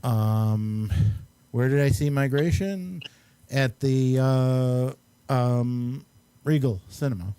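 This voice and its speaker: Male host for casual film chat, soft mellow tone, very dry sound, halting and meandering delivery. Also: medium pitch, clear, resonant tone, no room sound. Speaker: host and amateur filmmaker. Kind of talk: casual chat and movie reviews.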